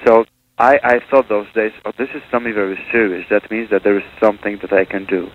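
A man from Poland talks over a thin, phone-like radio line, with a light hiss behind his voice. He is a priest speaking as a missionary guest, and he talks in a halting way, often pausing and restarting his sentences. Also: fairly low pitch, steady tone. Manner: hesitant, many fillers and restarts. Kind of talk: conversational interview.

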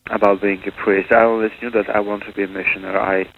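A man's voice: lowish voice, little pitch movement, fast talker. A man from Poland, a priest and missionary, speaking in a radio interview with many hesitations and restarts. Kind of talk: conversational interview. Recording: tinny phone-line radio with hiss.

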